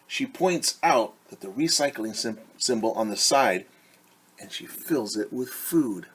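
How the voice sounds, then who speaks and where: A middle-aged man with a husky, mid-low voice leads a children's story time. He takes his time, reading aloud and then explaining in simple words, repeating key words for young listeners. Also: expressive, unhurried, a bit bright. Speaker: teacher reading a picture book aloud. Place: small room at home, indoors.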